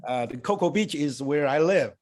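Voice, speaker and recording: An older man born and raised in Taiwan, speaking English as a second language and answering interview questions in a halting way, with many fillers and restarts. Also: fairly high pitch, lively intonation, darkish tone. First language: Chinese. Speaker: guest.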